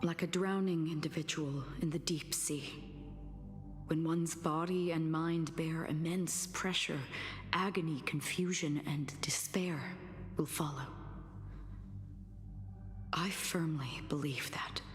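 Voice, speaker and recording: An actress voicing a calm, solemn young woman in a video game, a philosophical guide speaking softly in measured, formal phrases with deliberate pauses. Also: sits low. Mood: solemn, gentle. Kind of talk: scripted video game story dialogue. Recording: long reverb, loud game music behind.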